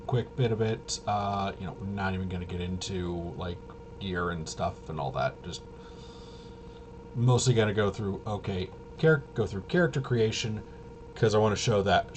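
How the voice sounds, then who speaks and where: Low-voiced man rambling over background noise. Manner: hesitant, rambling, trails off and self-corrects. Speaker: tabletop game reviewer and presenter. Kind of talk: casual unscripted walkthrough. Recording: clearly noisy room ambience.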